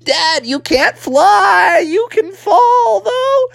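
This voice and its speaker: A nervous little frog boy from a storybook performed by a woman. He sounds high and swoopy, pleading with wide-eyed worry, the sensible one of the family. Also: big pitch swings, extremely slow, gravelly, no echo, full character voice. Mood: alarmed.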